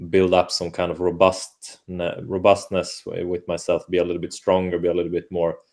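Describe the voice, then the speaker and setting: A man's voice: deep voice, completely flat delivery, normal speed, a little mellow. A man from Finland whose first language is Swedish, a football coach answering interview questions in a hesitant way, with many fillers and restarts. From Åland, Finland. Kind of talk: casual interview conversation.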